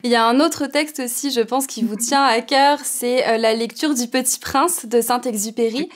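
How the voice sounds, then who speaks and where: Québécois woman interviewer, fairly high voice, reads neat prepared questions. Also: moderate pace. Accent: Québécois French. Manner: polished, reads prepared introductions.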